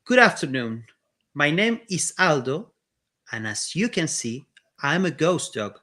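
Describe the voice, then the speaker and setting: A cheerful, friendly ghost dog telling his own story, read by a man with an Argentine accent, gentle and unhurried, with a bright, slightly high voice. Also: very animated, heavily acted. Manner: gentle, storytelling first-person.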